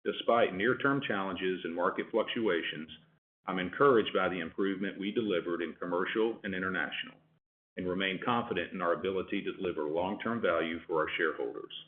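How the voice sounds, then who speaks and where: A man's voice: low-pitched, brisk. A man speaking formally as a company executive on an earnings call over a telephone line. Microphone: telephone conference line. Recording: narrow phone-line conference call audio.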